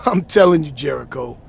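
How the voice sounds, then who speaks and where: A man's voice: a little high, creaky, breathy edge, exaggerated voice. A man playing an amused, sarcastic pro wrestler in a video game cutscene, wry in manner.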